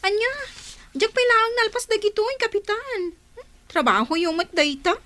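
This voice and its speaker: A woman with an Ilocano accent playing a squeaky, grumbling but compliant village secretary in a comedy radio drama. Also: lively intonation, moderate pace, pushed and bright, exaggerated voice. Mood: grumbling, reluctant. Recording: clearly noisy recording.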